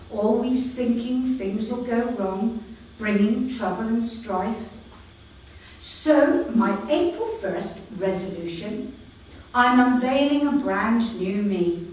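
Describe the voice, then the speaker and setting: Slow-reading woman poet in an echoey hall. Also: a little high, a little mellow, distant mic, a little hiss or hum. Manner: slow, measured reading with light humour. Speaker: poet reading her own work. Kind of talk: poetry reading at a live event. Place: community hall with live audience. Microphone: no microphone, voice projected.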